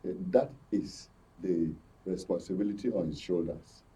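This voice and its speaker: A man with a Nigerian accent giving political commentary as a studio guest, halting but insistent, often repeating words. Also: low-pitched, mellow, husky, distant mic. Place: radio and TV studio.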